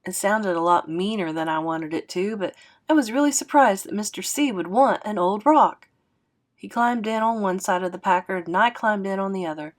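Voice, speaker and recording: A woman reading a children's novel aloud as a teacher, in an expressive narrating style. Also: mid-range voice, normal speed.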